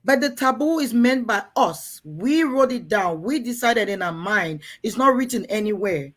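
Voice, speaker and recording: A woman's voice: mid-range voice, animated, normal speed. A woman from Cameroon hosting a frank talk to women in a room, earnest and coaxing, often turning to the ladies in her audience. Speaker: host.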